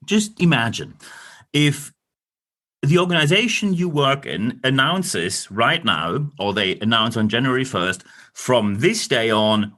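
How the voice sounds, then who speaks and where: A man's voice: mid-range voice, bright, forceful tone. A man from Northern Germany with a German accent, hosting a solo leadership podcast with blunt talk and dry sarcastic asides. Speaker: podcast host. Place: recording in London.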